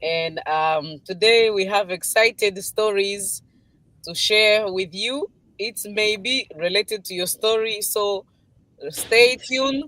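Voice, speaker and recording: A woman's voice: mid-range voice, slow pace, fairly bright tone, no echo, low-quality recording. Woman, dry close sound. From Congo. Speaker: guest business partner. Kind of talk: live stream introduction.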